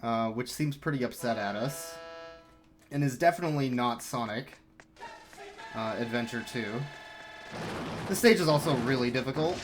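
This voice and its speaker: A male speedrunner chats casually through a live run, full of dry jokes and sarcasm. Game music plays clearly behind his voice, and his pitch sits in a middle range. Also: moderate pace, long pauses.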